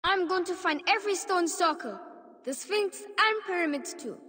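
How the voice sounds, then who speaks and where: A woman's voice: very high-pitched, animated, moderate pace. A woman voicing an eager, adventurous little boy in a video game, in a reverberant recording. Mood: eager, hopeful. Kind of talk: dramatic video game acting.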